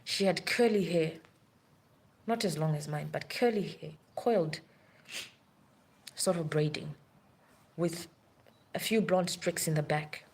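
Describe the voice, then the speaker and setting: Low-voiced nurse rambling urgently. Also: female voice, a little slow, long pauses, darkish tone, husky. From Africa. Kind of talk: live-stream monologue.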